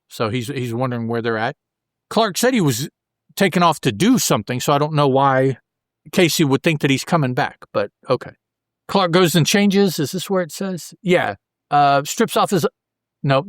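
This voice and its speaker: A man hosting a podcast, casually recapping a story in a halting way as if thinking aloud. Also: mid-range voice, a bit bright, no room sound, studio quality. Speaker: podcast host. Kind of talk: casual panel recap of a comic book.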